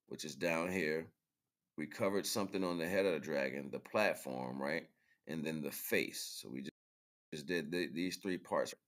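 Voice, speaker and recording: A man's voice: deep voice, fairly bright tone. A man giving casual livestream commentary in informal, slangy talk, repeating phrases for emphasis as he hosts. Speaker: livestream host.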